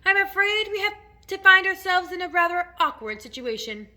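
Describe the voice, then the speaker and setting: Woman playing a cheerful old grasshopper gentleman. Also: very high-pitched, sing-song, moderate pace, voice pushed up, full character voice. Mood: reassuring. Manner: encouraging, polite, reassuring. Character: kindly old male grasshopper, determinedly cheerful leader.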